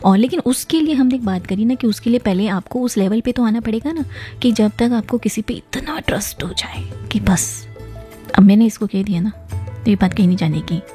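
A woman with a hoarse, mellow voice hosts a live message show, chatting directly to her listeners and reading their notes aloud. She keeps checking in with a friendly "hai na" as she talks. Faint music plays underneath. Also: a little high, normal speed. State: hoarse from a viral illness. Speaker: host of a message-based request show.

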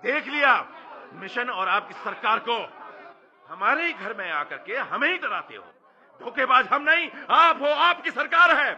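A high, very forceful young rebel, defiant and impassioned in radio drama style. The recording is dull, with faint crowd sound behind. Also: male voice, animated, moderate pace, long pauses, heavily acted. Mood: angry defiance. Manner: impassioned, declamatory. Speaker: actor. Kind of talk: audio drama acting.

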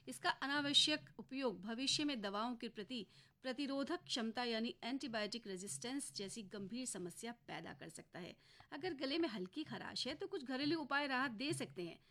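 A woman radio host presenting a program for women listeners, giving advice in a clear, measured way. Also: fairly high pitch, animated, sharp tone.

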